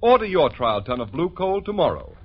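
A man's voice: fairly high pitch, big pitch swings, fairly quick, husky. A man announcing a 1940s radio commercial in an emphatic, salesmanlike manner. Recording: dull old radio recording.

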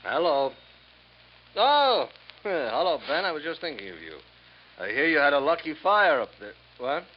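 An actor playing a crooked, cornered merchant in 1950s radio drama style, stammering and repeating himself. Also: male voice, a little high, very animated, moderate pace. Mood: smooth, then flustered. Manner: stammering, pleading, repetitive. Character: crooked, cornered merchant, wheedling then explosively bitter. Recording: muffled, noisy 1950s radio recording.

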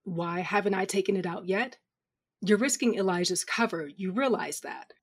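A woman's voice: medium pitch, moderate pace, slightly rough. A woman playing a blunt, sardonic comrade of a Sith in an audio drama, confrontational and exasperated. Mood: exasperated, challenging.

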